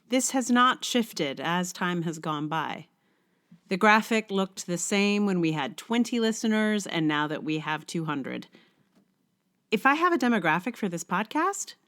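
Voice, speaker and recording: A woman's voice: on the low side, a bit bright. A middle-aged woman with a congested, cold-affected voice, hosting a podcast in a wry, conversational way. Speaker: podcast host. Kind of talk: casual intro, then reading own blog essay aloud.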